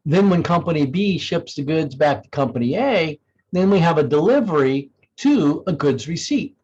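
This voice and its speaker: A man with a fairly high voice walks through software as a demonstrator. He explains things conversationally, thinking aloud as he goes. The recording is somewhat dull and lo-fi. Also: animated, moderate pace, breathy edge. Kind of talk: informal software demo walkthrough.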